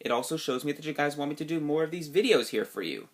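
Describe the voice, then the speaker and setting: A man's voice: a little high, lively intonation, quick pace. Sniffly man chatting about game collecting. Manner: rambling, many fillers and restarts. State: sniffly after a cold. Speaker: video game collector vlogger. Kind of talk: casual video review.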